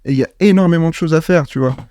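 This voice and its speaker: Guy from morocco in a relaxed interview, lots of euh and restarts, dry close sound. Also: on the high side, racing, no room sound. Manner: hesitant, many fillers and restarts. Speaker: startup founder. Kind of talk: casual interview talk.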